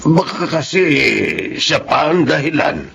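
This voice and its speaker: Household employer, measured and kindly, creaky voice, Filipino radio drama style. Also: male voice, on the high side, big pitch swings, fairly bright tone, muffled recording, full character voice. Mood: thoughtful, suspicious.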